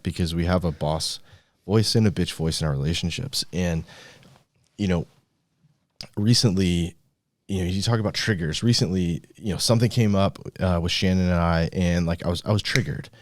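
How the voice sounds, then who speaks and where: A man with a very deep voice hosts a motivational podcast. He speaks casually but with a coach's emphasis and doesn't shy from swearing. The sound is dry, with almost no room in it. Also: no echo. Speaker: podcast host and business coach.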